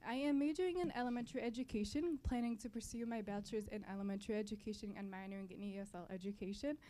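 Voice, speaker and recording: A woman's voice: medium pitch, racing, mellow, slight background noise. A young woman from Palestine, a native Arabic speaker, giving a welcome speech to an audience as a student club member, often repeating and correcting her phrases. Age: young adult. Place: college library event.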